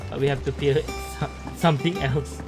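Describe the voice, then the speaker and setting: Male gameplay commentator, informal live-stream chat, mid pitch, music clearly audible underneath. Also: fairly even intonation, normal speed. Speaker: game streamer. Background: game music with plucked strings.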